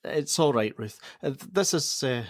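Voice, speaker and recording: A man's voice: medium pitch, lively intonation, moderate pace, no room sound, studio quality, close to an everyday voice. A middle-aged man playing a curt, exasperated social worker and father in a radio play, speaking bluntly and insistently. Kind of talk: naturalistic radio play acting.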